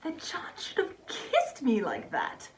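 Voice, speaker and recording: A woman playing an astonished, flustered gentlewoman who is secretly thrilled, in a dramatized novel. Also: on the high side, huge pitch swings, slow, full character voice. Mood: amazed, flustered. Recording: distant, faintly hissy.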